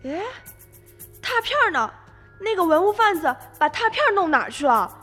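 Curious, very high-voiced grandchild. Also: female voice, sing-song, normal speed, sharp tone, exaggerated voice. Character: curious, eager young grandchild questioning grandpa. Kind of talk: Chinese radio drama acting. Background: music.

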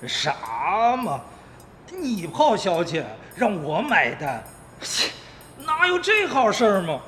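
Wildly animated, high-voiced petty thug. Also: male voice, slow, slight background noise, full character voice. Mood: indignant, scornful. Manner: snappy, scornful, crude. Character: brash, hot-tempered small-time tomb-robber thug. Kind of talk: Chinese radio drama acting.

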